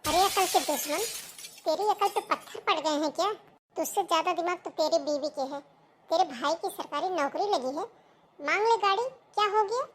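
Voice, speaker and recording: A woman voicing a greedy, domineering mother-in-law who scolds her sons loudly. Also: very high voice, normal speed, slight background noise. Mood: scolding. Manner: scolding, loud and bossy.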